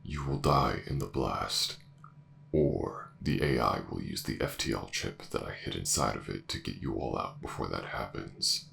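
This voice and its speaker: A man's voice: rumbling low, vocal fry, a bit bright, a little hiss or hum, exaggerated voice. A man playing an ancient, coldly logical ship's AI in a sci-fi audio roleplay, speaking formally and without emotion. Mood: coldly resolute. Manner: formal, measured, emotionless delivery. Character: ancient warship AI director, cold, logical, ruthless. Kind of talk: scripted sci-fi audio drama acting.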